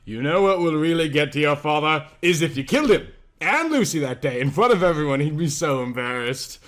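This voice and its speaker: A man's voice: a little high, animated, a little fast, a bit bright. Man acting an earnest, loyal young girl. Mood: reassuring. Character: loyal, reassuring young girl friend of heroine. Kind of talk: dramatic amateur story reading.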